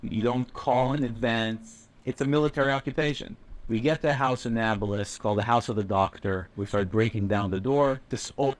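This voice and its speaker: A young man from Israel giving measured, reflective personal testimony about his time as a soldier. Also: mid-range voice. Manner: measured, reflective storytelling with occasional "um". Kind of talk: personal testimony, clip from a talk.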